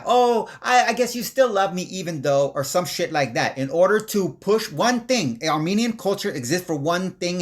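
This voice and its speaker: A man giving a rambling commentary over video clips, with a somewhat high voice. He is profane and aggressive and keeps jumping between thoughts. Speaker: commentary host.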